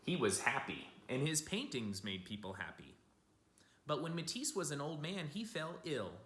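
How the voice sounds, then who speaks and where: A man giving an expressive read-aloud of a picture book for children, like a teacher speaking to young listeners. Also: on the high side, moderate pace, a little hiss or hum. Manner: addresses young listeners with simple asides and questions. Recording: roomy, echoing room sound.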